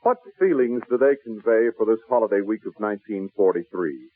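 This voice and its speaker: A man's voice: fairly low pitch, lively intonation, fairly quick. A man reads a scripted 1940s radio announcement in a solemn, formal, oratorical way. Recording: tinny, muffled 1940s radio broadcast recording.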